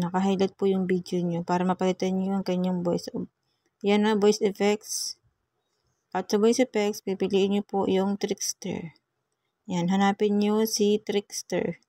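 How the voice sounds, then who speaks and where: Filipino woman, even-toned and softly mellow. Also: medium pitch, no room sound, studio quality. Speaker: vlogger giving a phone editing tutorial. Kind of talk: casual step-by-step tutorial.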